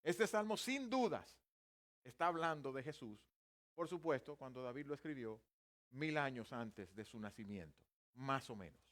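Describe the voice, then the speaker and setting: A man in his fifties preaches in his native Spanish from a church pulpit. He moves from light self-deprecating humor into earnest teaching, and his voice sits at a middle pitch. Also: expressive, moderate pace, a bit bright. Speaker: pastor. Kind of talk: bilingual church sermon in Spanish. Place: church sanctuary.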